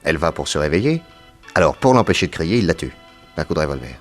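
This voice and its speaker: An actor playing a composed, authoritative detective in a French radio serial, speaking in a brisk, assured way. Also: male voice, very deep voice, very animated, faint music behind. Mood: methodical, deductive. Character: famous detective, male, composed, authoritative, methodical.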